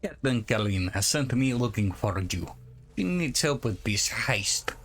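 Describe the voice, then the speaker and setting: A man voicing an aloof, proud cat-folk adventurer in formal, measured speech as game roleplay. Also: mid-range voice, lively intonation, fairly bright tone, a little hiss or hum. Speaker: gamer playing in character. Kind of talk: in-character roleplay game commentary. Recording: game music behind the voice.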